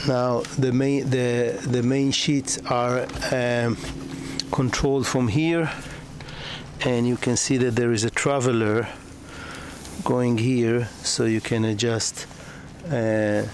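A man giving a slow, hesitant walkthrough of a sailboat, pausing often and restarting his sentences as he films. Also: mid-range voice, little pitch movement. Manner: slow, halting, with many fillers and restarts. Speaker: yacht broker. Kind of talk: boat walkthrough narration. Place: on a moored sailboat in a marina, outdoors and below deck. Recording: noisy outdoor camera audio.

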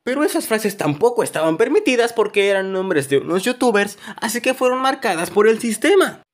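A man narrating a comedic animated story in an expressive, exaggerated way. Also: high voice, a little fast. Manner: expressive, exaggerated comic delivery. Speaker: narrator of a fan-dubbed animated story video.

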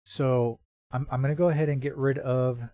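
Guy doing a casual computer how-to, kinda halting, dry sound, bit muffled lo-fi mic. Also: mid-range voice, fairly even intonation, dry recording. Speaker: software tutorial presenter. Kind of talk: casual screen-recorded tutorial narration. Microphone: computer microphone with real-time noise removal.

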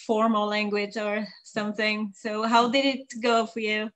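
A woman's voice: mid-range voice, flat delivery, unhurried, a bit bright. A woman co-hosting an online interview over a video call, introducing the guest and asking curious follow-up questions. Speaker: co-host.

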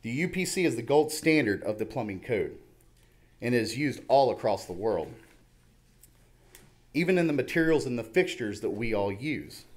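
A man's voice: medium pitch, darkish tone. A middle-aged man reading a prepared public comment at a podium microphone in a council chamber. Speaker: public commenter, plumbing instructor. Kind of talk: prepared public statement read aloud. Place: large indoor council chamber. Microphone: podium gooseneck microphone.

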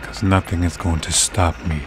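A soft-spoken male gamer who is losing his voice, giving casual commentary as he plays. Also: low voice, steady tone, normal speed, heavy background noise. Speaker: video game streamer. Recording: loud game music behind. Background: horror game soundtrack.

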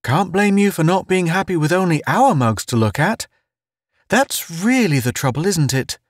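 A man acts out a cheerful, wisecracking spaceman who loves to needle his pals. The voice is pitched high and swoops about with gleeful, knowing mischief, like a storybook joker, in a dry, close recording. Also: big pitch swings, unhurried, dry recording, heavily acted. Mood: sly, knowing. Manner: gleeful, teasing, folksy wisecracking. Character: jovial, needling, giggly spaceman pal, personal affairs officer. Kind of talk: audiobook character voice.

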